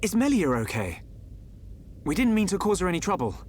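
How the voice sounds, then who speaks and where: An actor playing an earnest, polite teenage hero in an animated game, eager in his delivery. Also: sits high, brisk, slight background noise. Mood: anxious.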